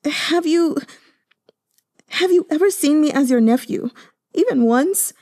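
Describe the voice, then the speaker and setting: A sobbing, pampered young man, put on by a woman reader pleads in a high, choked voice. He stammers and pushes the words out in breathless rushes. It sounds mellow and soft at the edges. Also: expressive. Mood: sobbing pleading.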